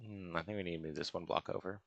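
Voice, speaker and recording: Gravelly low-voiced man thinking aloud. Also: steady tone, fast talker, muffled recording. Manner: hesitant, musing, talks to himself. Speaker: gaming video host. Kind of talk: casual Minecraft building commentary, thinking aloud.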